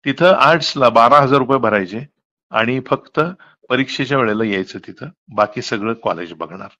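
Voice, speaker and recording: A man's voice: medium pitch, normal speed, sharp tone. Muffled phone call, emphatic man. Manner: emphatic, detailed, mixes in English words. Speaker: college principal. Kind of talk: earnest explanatory phone conversation.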